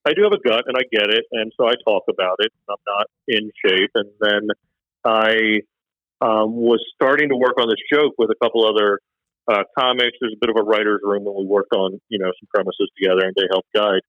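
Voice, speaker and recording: A man's voice: fairly low pitch, a little fast, dry recording. A man, a stand-up comedian, chatting casually in a rambling, self-deprecating way with lots of fillers and restarts. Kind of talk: casual conversation. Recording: dull, dry, lo-fi sound.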